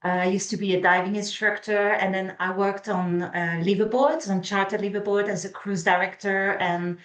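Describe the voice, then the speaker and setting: A woman's voice: medium pitch, steady tone, normal speed. Swiss woman in her 40s chatting as a guest, lots of ums, roomy echo. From Switzerland. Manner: hesitant, lots of filler sounds. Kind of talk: casual interview. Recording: echoey room.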